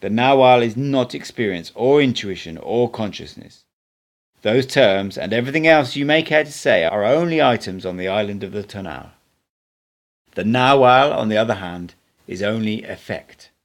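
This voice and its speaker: A man's voice: on the low side, lively intonation, normal speed. A man reading the part of an unruffled male mystic teacher in an audiobook, instructive, cryptic and teasing. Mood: mocking, instructive. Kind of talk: audiobook character dialogue.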